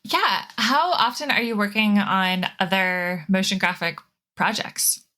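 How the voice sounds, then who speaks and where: A woman's voice: mid-range voice, a little slow, bright, forceful tone. A woman hosting a podcast, talking casually as she interviews a guest.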